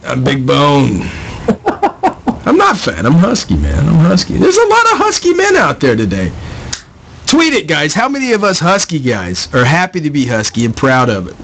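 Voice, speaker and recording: A man's voice: fairly high pitch, wildly swooping pitch, moderate pace, gravelly, a bit bright, slight background noise. A man hosting a casual paranormal radio show, joking and laughing as he rambles through banter with his co-host. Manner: jokey, laughs often, rambling.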